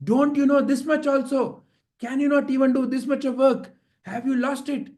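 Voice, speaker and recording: A man's voice: very high-pitched, fairly even intonation, normal speed, voice pushed up. A man with an Indian accent voicing an angry, self-important king who scolds imperiously in a storybook tale. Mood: furious. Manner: shouting, imperious, repeats rhetorical questions.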